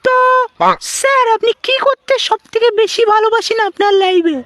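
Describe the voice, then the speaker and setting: Shrill, extremely high cartoon squeaker. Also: female voice, normal speed. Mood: sassy. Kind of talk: comic cartoon skit dubbing. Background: music.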